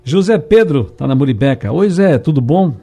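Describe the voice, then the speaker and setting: A man hosting a radio call-in show, friendly and brisk, reading listeners' questions and greeting callers. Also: a little high, animated, vocal fry, mellow. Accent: Brazilian Portuguese.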